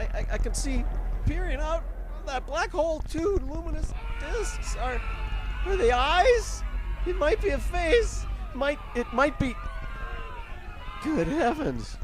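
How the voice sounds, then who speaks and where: A man playing a live radio field reporter, stammering and increasingly frantic. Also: very high voice. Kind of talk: 1930s radio drama acting.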